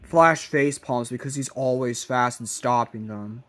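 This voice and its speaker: Guy doing fan story narration, talks slow and choppy, stops partway thru sentences, mid pitch. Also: fairly bright tone. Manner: halting, drawn-out delivery in short broken phrases. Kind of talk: rambling fan-fiction plot narration.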